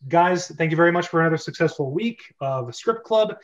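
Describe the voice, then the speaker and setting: Man, fairly high voice, livestream host, casual and rambling, muffled low-fidelity audio. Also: lively intonation, a little fast. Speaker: host of an online script reading club. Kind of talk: casual livestream group chat. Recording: dull, lo-fi online stream audio.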